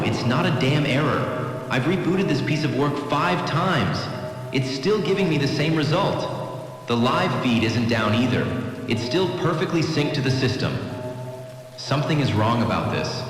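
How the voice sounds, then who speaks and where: A man's voice: mid-range voice, lively intonation, a little slow, lightly acted. A man playing an urgent, frustrated weather technician, talking insistently as if on a phone call in an audio drama. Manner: insistent, exasperated. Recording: echoey, long reverb, music underneath.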